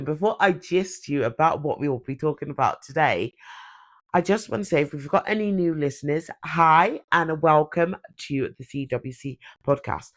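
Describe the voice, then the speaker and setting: A young woman hosting a solo podcast, chatting in a bubbly, self-correcting way while congested with a cold. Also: low voice. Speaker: podcast host. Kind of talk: casual solo podcast chat.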